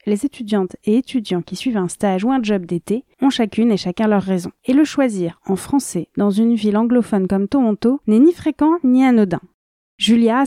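A woman reporter reading scripted news narration in a measured, composed way. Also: mid-range voice, dark tone, no echo, studio quality.

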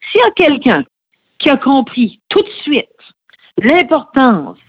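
A woman's voice: high voice, huge pitch swings, a little slow, no echo. A middle-aged woman speaking as a radio interview guest, explaining things earnestly with frequent hesitations. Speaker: radio guest, head of a francophone federation. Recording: slightly muffled, dry recording.

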